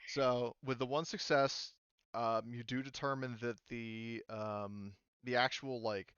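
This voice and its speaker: Male GM, tabletop rpg, hesitant rambling explainer, smooth clean voice, dry muffled mic. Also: medium pitch, steady tone, slow pace, fairly bright tone, dry recording. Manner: rambling, many fillers and restarts. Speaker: game master. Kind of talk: running a tabletop roleplaying game.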